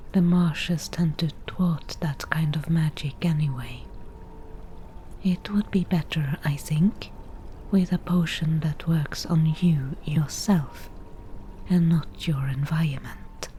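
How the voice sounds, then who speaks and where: Woman playing a slow whispering forest witch. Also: sits low, a little hiss or hum. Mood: calm, wry. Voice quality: soft breathy whisper. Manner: slow, unhurried, dryly teasing. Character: forest witch, wry, bargaining potion brewer. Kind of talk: whispered ASMR fantasy roleplay.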